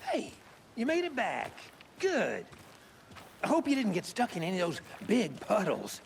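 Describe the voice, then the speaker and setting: A performer playing a chatty, excitable small-town deputy in a video game. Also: mid-range voice, unhurried, gravelly, far from the mic, a little hiss or hum, full character voice. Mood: cheerful, chatty. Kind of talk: cinematic video game acting.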